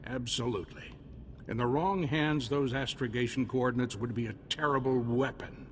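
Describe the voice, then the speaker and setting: A man's voice: medium pitch, normal speed, natural voice. A hearty military officer played by a man with a mid-range voice and a folksy, commanding delivery. He sounds like a video game soldier in a large reverberant space, with faint noise behind him. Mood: grave, emphatic. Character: gruff, hearty, grateful Republic military officer. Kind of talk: scripted video game dialogue.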